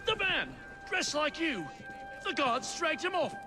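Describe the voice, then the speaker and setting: A man's voice: very high voice, sing-song, a little slow, music in the background, heavily acted. An actor playing a frightened, pleading man in a game cutscene. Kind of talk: video game cutscene acting.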